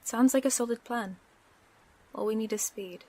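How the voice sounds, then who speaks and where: A woman's voice: on the high side, normal speed, warm tone. A woman playing a sly, confident supervillain's accomplice in an audio roleplay, wry and teasing in manner.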